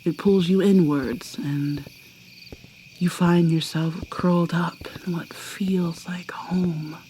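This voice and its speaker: A woman playing an ancient vampire mermaid in an ASMR roleplay, whispering softly and haltingly with a wistful manner. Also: lowish voice, steady tone, slight background noise. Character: ancient female vampire mermaid, guarded and sorrowful.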